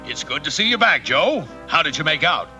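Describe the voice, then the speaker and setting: An actor voicing a confident male police detective hero in a 1960s TV cartoon, with a crisp, commanding delivery. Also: fairly high pitch, sing-song, a little fast. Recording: faint music under dialogue.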